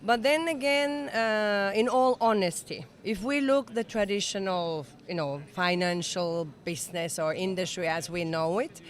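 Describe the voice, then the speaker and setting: A woman's voice: mid-range voice, measured pace, hardly any pauses. Finnish-born lady in her 60s, eager and earnest, chatting in an interview at a summit. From Finland. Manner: enthusiastic, earnest, slightly non-native phrasing. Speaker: interview guest. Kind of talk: conversational interview. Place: event summit venue.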